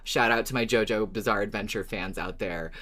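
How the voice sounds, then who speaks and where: A man's voice: lowish voice, fairly quick, a bit bright. A man co-hosting a podcast in casual, easily amused chat. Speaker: podcast co-host.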